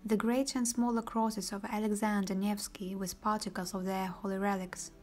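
Woman, documentary narrator, even measured delivery, mid-pitched voice, soft music underneath.

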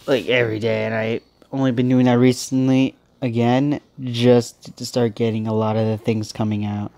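A man's voice: medium pitch, flat delivery, moderate pace, vocal fry, warm tone, no room sound. A young man with a stuffy nose giving rambling, casual commentary while playing a mobile game. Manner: rambling, thinking aloud with many restarts. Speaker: gaming channel host.

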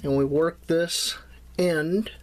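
A man's voice: fairly high pitch, steady tone, takes it slowly. A man teaching bushcraft knots, talking through each step while his hands tie the cord. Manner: plain step-by-step explaining while tying. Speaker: bushcraft instructor. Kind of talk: hands-on knot tutorial.

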